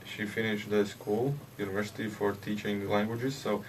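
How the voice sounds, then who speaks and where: A Latvian man, a hockey player, answering interview questions in English with a Latvian accent, haltingly and with many hesitations. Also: lowish voice, little pitch movement. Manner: halting, hesitant, with frequent "uh" and restarts. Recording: distant mic with faint background noise.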